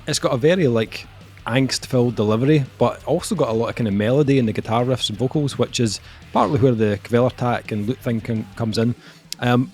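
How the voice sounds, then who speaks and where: A man's voice: mid-range voice, a little mellow. A young man reviewing an album on a podcast, talking casually with lots of ums and joking at himself. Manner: halting, lots of ums, jokey self-mockery.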